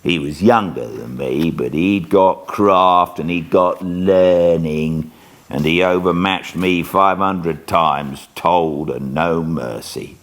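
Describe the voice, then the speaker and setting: A man's voice: deep voice, slow pace. A man doing an old drawling convict. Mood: brooding. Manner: gruff, plain-spoken, drawling. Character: old rough male convict telling his past.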